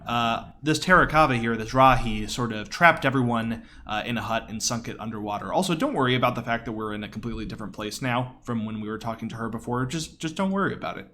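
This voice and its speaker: A man's voice: medium pitch, quick pace, hardly any pauses, slight background noise. A man giving casual, enthusiastic live commentary on his own video game speedrun in a conversational way. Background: quiet game audio.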